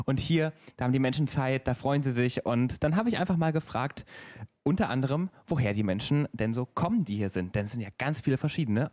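A man working as a radio reporter, explaining his festival interviews in a relaxed, casual way. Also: medium pitch, no echo.

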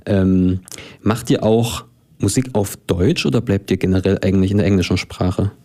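A man's voice: sits low, fairly even intonation, moderate pace, warm tone. A man hosting a radio interview in a studio, asking easygoing, chatty questions with fillers. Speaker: radio host.

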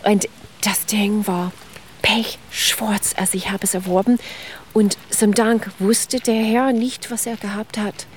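A woman's voice: medium pitch, steady tone, takes it slowly. Woman, explains history with keen enthusiasm, small grammar slips, a bit of background noise. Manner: non-native German with grammar slips, enthusiastic, explains step by step. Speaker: ice cream history expert. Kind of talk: explanatory interview talk.

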